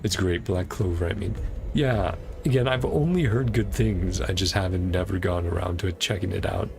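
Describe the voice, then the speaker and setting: Male game streamer, deep and fry-laden voice, relaxed enthusiastic chat over game music. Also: normal speed, background noise. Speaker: live streamer. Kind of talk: casual livestream chat while playing a game.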